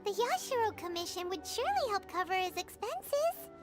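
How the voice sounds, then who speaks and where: A tiny fairy companion for a video game, squeaky and childlike. The voice is extremely high and swoops in a lively, earnest sing-song over tinkling music. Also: female voice, normal speed. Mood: concerned.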